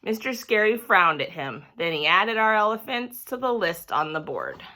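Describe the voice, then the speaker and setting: Female storyteller for kids' book read-aloud: bright voice, very lively intonation, expressive delivery. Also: mid-range voice, moderate pace. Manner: animated, theatrical storytelling. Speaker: reader reading a children's book aloud. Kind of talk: expressive scripted narration for children.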